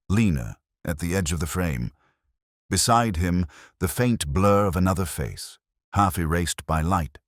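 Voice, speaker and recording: A man playing a brooding, melancholy police detective, narrating his case in a measured, reflective, literary manner, as in a scripted mystery drama. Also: a bass voice, no echo, studio quality, exaggerated voice.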